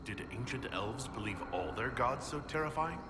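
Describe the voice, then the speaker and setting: A man's voice: medium pitch, animated, normal speed, very noisy, lightly acted. A man playing a pragmatic, inquisitive fantasy hero in a video game, speaking bluntly. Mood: curious, sceptical. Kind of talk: video game dialogue acting.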